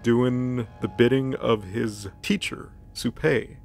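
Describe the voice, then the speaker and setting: A man co-hosting a casual comedic story recap, narrating playfully with a creaky voice and slipping into dialogue. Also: mid-range voice, steady tone, slow pace, a little mellow, faint music behind.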